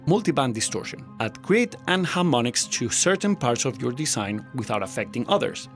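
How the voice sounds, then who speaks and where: A man narrates a scripted tutorial in a slightly high voice with very varied intonation. His delivery is articulate and instructional, with light music behind him.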